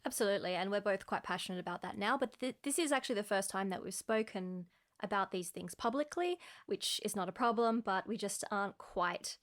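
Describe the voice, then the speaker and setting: A woman's voice: medium pitch, fairly quick, fairly bright tone. A woman co-hosting a science podcast, speaking in a friendly, careful way with a few fillers. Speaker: podcast co-host. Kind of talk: casual podcast chat.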